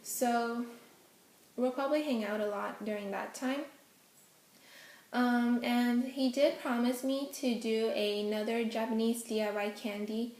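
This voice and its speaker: Young woman vlogger, first language Hokkien, slightly high voice, casual and chatty in a roomy echo. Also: level tone, a little slow, a little mellow. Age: young adult. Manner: chatty, many ums, giggly asides. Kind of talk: casual Q&A vlog. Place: indoors at home, face out of frame.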